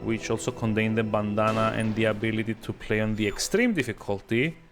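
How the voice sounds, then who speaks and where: A man streaming a video game, giving casual, rambling live commentary with hesitant pauses and dry sarcasm. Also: fairly low pitch, over music.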